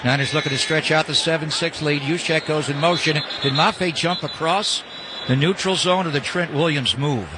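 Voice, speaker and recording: A man's voice: on the high side, fairly even intonation, moderate pace. An American man calling a football game live as a radio play-by-play announcer. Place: broadcast booth at a stadium. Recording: live broadcast over clear stadium noise.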